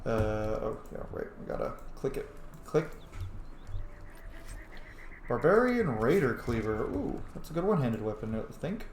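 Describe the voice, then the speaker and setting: A man with a medium-pitched voice gives playful, informal gaming commentary, sometimes muttering to himself and swearing. The room is noticeably echoey, with faint noise behind him. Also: big pitch swings, normal speed, long pauses. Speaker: gaming video host. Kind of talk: casual let's play commentary.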